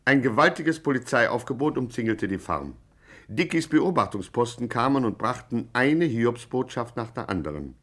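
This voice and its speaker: An actor as a mock-serious documentary narrator in a vintage radio play, formal and measured. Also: male voice, mid-range voice, sing-song, a little hiss or hum, lightly acted. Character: solemn mock-documentary male narrator of gangster chronicle. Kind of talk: scripted 1950s radio narration.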